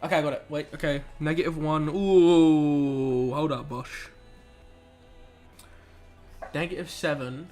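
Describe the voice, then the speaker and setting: A man's voice: on the high side, fairly even intonation, slow pace, long pauses, a little mellow, soft background music. A young man in casual live-stream chat, laid back and chatty, reading out chat and muttering as he works through maths questions. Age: young adult. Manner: laid-back, chatty slang, reads chat aloud and mutters while working.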